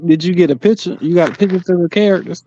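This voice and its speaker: Man, high voice, mellow tone, casual joking slang, dull video call audio. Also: fast, a little hiss or hum. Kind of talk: casual chat.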